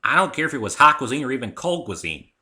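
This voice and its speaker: A man puts on a hearty country mare for a story reading. The voice jumps higher and louder, forceful and blunt, with folksy emphasis. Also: sing-song, quick pace. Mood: indignant. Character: blunt, hearty adult female country pony, plain-spoken.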